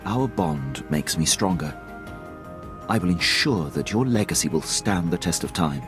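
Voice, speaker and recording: A loyal military officer in a video game scene, with a low voice. He is formal, earnest and deferential, openly devoted. Game music is clearly audible underneath. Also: animated, measured pace. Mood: earnest, tender. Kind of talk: video game cutscene acting. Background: game score.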